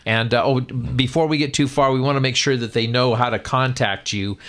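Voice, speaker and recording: Man, medium pitch, conversational radio interviewer, hesitant, roundabout prompts. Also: steady tone, normal speed, a bit bright.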